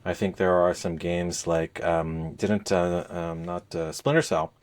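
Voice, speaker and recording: A middle-aged man hosting a casual podcast, talking in a measured, hesitant way with frequent pauses. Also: low-pitched, level tone, a little hiss or hum. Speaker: podcast host. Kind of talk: casual gaming podcast chat.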